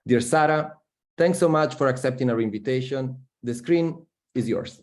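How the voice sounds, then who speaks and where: A man hosting an online event, reading a scripted introduction of the guest artist in a measured, formal way. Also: medium pitch. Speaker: event host.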